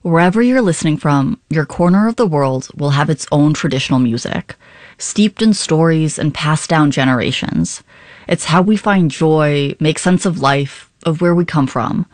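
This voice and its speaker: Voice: medium pitch, expressive, moderate pace, no echo. A radio presenter giving a scripted programme introduction from a studio.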